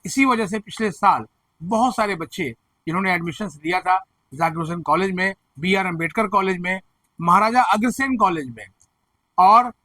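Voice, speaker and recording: A man with a hoarse throat presenting education news, explaining admission figures and earnestly reassuring students and parents. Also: high-pitched, bright, forceful tone, no echo, low-quality recording. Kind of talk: direct-to-camera advisory commentary.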